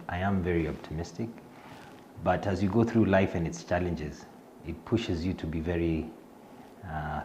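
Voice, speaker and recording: A middle-aged man with a Kenyan accent talks about himself in an interview, his voice very low. He pauses often with fillers and restarts his sentences, chuckling a little at himself. A faint hum of noise sits under the recording. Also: warm tone. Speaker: fintech executive. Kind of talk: self-introduction in an interview.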